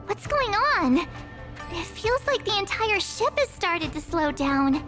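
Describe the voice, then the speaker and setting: A woman's voice: extremely high pitch, very animated, a little slow, a bit bright, faint music behind, exaggerated voice. An actress playing an alert, anxious young woman in a video game. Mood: alarmed. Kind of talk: video game cutscene dialogue.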